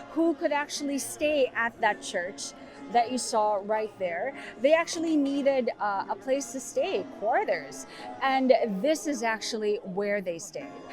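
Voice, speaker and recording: A young woman leads a walking tour through city streets, her voice sitting a little high. She talks loosely and chattily, stringing thoughts together with fillers as she strolls. Music plays clearly underneath her. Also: darkish tone, low-quality recording. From Philippines. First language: Tagalog. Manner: walking while talking. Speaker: tour guide. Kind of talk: casual walking-tour vlog narration. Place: outdoors in a city's streets and harbour lookout. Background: background music.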